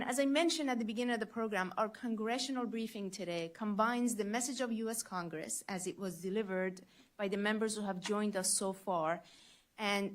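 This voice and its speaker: A woman moderating a policy briefing, introducing speakers in a measured, formal way with some fillers. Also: mid-range voice.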